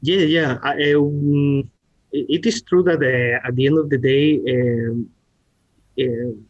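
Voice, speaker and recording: A man with a Spanish accent giving a halting technical explanation as a guest expert, often restarting his words. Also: mid-range voice, steady tone, dry recording, highs cut off. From Spain. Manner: halting, searching for words with repeated starts. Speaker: R&D director, podcast guest. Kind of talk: technical presentation and discussion.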